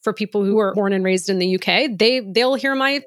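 A woman's voice: medium pitch, a little fast, fairly bright tone, dry recording, clean studio sound. Earnest American woman podcast host. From United States. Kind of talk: conversational podcast discussion.

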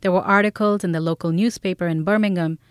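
A woman's voice: lowish voice, fairly even intonation, fast talker, smooth, no echo. Woman narrating a documentary, dry sound. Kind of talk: scripted audio documentary narration.

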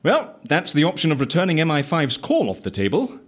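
A whimsical, petulant schemer in a comic audio fiction. His husky voice leaps wildly up and down, theatrical and fussily pedantic. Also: fairly high pitch, huge pitch swings, brisk. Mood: playful. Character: eccentric, playful, petulant male office schemer.

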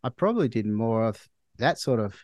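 An Australian man in his forties, a doctor being interviewed, talking in a measured, thoughtful way with frequent restarts. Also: mid-range voice, very animated, a little mellow, dry recording. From Melbourne, Australia. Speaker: guest, hospital doctor. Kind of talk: casual interview chat.

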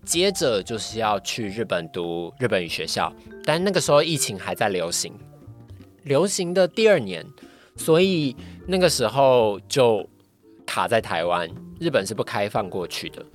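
A podcast guest with a Taiwanese Mandarin accent shares personal experience in a casual way. The voice sits mid-range and often pauses to rephrase. Music plays clearly underneath. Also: medium pitch, big pitch swings. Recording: voice over background music. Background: background music.

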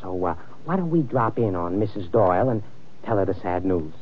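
An actor playing a shrewd, scheming crook in an old-time radio crime drama, talking in a folksy, persuasive tough way. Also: male voice, low voice, lively intonation, brisk, a little hiss or hum. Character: shrewd, scheming crook nicknamed Colonel, a man. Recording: dull old radio recording.

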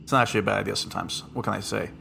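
A man with a deep voice hosting a casual podcast chat, joking and rambling off into asides. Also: rapid-fire, creaky, sharp tone, a little hiss or hum. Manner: jokey, rambling, with frequent asides. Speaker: podcast host.

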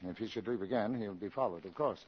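Husky, doubting embassy officer. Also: male voice, on the low side, fast talker. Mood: dismissive. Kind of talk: 1950s radio drama acting. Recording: dull old radio recording.

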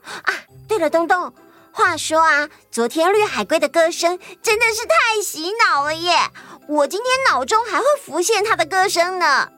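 Casting: woman as self-important, whiny best pal for kids' audio, high-pitched and exclamatory, music under it. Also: lively intonation, moderate pace, bright, forceful tone, full character voice. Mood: boastful, bubbly. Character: chatty, boastful, whiny fashion-designer friend Xiaoxi. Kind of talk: children's audio story acting.

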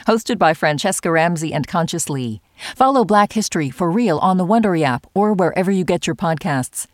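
An announcer with a mid-range voice reads a podcast ad. The delivery is smooth and polished. The recording is dry, with no room sound. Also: medium pitch, lively intonation, a little fast, no echo. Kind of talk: scripted ad read.

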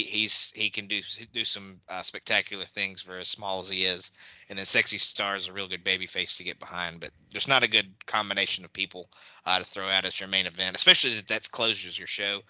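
Deep-voiced man hosting a podcast. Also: no room sound, poor audio. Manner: relaxed, many fillers, hands off questions to the guest. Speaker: podcast host. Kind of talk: casual podcast chat.

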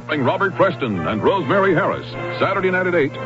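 Male announcer, dramatic promo read, hugely animated, muffled vintage broadcast, clear music behind. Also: fairly high pitch, quick pace. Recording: dull 1970s radio broadcast.

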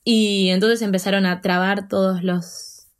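A woman's voice: mid-range voice, fairly even intonation, a little slow. Chatty Argentine woman in her twenties. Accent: Argentine Spanish. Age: 20s. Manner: chatty, self-deprecating, with fillers and laughs. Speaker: interview guest. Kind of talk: casual storytelling.

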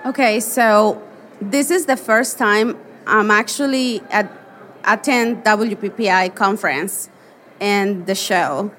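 A woman's voice: mid-range voice, fairly bright tone, a little hiss or hum. A woman with a Spanish accent, a native Spanish speaker, talking earnestly as a guest in a casual interview. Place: busy convention floor.

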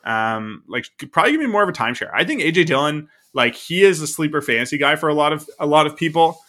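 A man's voice: a little high, lively intonation, fast talker, bright, forceful tone. A man co-hosting a podcast, talking about football in a loose, rambling way with lots of fillers. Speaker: podcast co-host. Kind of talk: casual sports chat.

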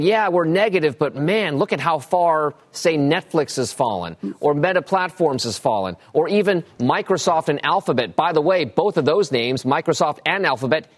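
A man with an American accent anchoring a live business news broadcast, presenting and putting questions to guests. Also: a little high.

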